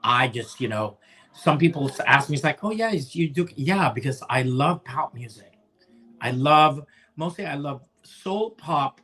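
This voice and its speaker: A man's voice: fairly high pitch, expressive, measured pace, pushed and bright, faint music behind. A man in his forties from the Philippines, an opera singer chatting as a guest, rambling with lots of restarts and fillers. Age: 40s. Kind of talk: casual chat.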